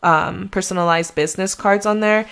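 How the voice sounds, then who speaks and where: A woman's voice: medium pitch, steady tone, normal speed, creaky, fairly bright tone, smooth. Chatty woman with a slight Mexican accent. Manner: chatty, rambling, conversational. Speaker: real estate agent and podcast host. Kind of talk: casual solo podcast advice.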